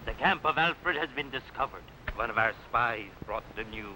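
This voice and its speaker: An actor playing a boisterous, bloodthirsty Viking warlord, declaiming theatrically in 1950s television adventure style. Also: male voice, medium pitch, normal speed, sharp tone. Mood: gloating. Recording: noisy old 1950s film soundtrack.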